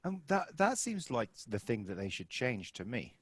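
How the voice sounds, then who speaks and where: A man's voice: mid-range voice, big pitch swings, normal speed, breathy edge, no echo. A man co-hosting a podcast who mostly chimes in with short replies and friendly suggestions. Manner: brief responsive interjections, friendly suggestions. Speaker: podcast co-host. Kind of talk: casual conversation.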